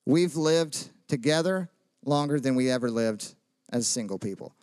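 A man's voice: medium pitch, lively intonation, moderate pace, darkish tone, smooth, no echo, studio quality. Chatty man preaching casually. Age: 30s. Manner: chatty, joking, asks the audience questions. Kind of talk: casual sermon with personal stories.

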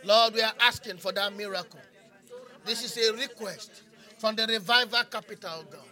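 High, bright-voiced man in fervent prayer. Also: normal speed. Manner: impassioned, repetitive, incantatory phrasing. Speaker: preacher leading prayer. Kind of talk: fervent charismatic prayer with speaking in tongues.

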